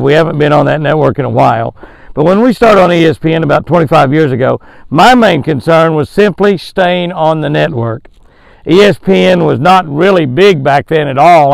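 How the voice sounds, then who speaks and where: An older Southern man with a gravelly, slightly high voice talks in a folksy, chuckling way, stretching words for emphasis. He hosts a fishing show, reading a short devotional and giving a bass tip. He is recorded outdoors by a lake, close to the camera, with a dry sound. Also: no echo. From American South.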